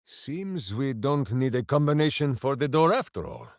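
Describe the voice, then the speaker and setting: An actor playing a big-hearted, simple-minded berserker ranger in a video game, declaiming grandly and earnestly. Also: male voice, mid-range voice, big pitch swings, moderate pace, no echo, clean studio sound. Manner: grandiose third-person declamation, earnest and theatrical. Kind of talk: video game character voice lines.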